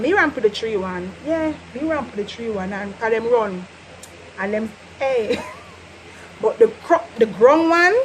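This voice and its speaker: Woman, Jamaican accent, young adult, high-pitched, animated patois vlogger. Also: slight background noise, rough recording. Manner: animated, laughs often, slips into patois. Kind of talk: casual chatty lesson.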